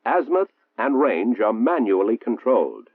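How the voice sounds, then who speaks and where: An American man reading a 1960s military training film narration in a formal, measured, precisely enunciated manner. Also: mid-range voice, big pitch swings. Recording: muffled, roomy 1960s film soundtrack, phone-like.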